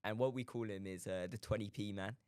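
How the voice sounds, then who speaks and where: A man's voice: fairly low pitch, fast talker, darkish tone, clean tone, dry recording. A man from Pakistan, a guest in a radio studio, telling an odd anecdote in a hesitant way with lots of fillers. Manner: hesitant, lots of uh and um, laughs at himself.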